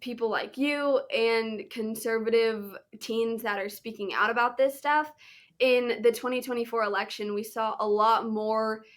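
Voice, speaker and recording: A woman's voice: a little high, steady tone, a little slow. A young American woman, a podcast guest, giving her opinions in an earnest, emphatic way. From United States. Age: teens. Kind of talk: podcast interview.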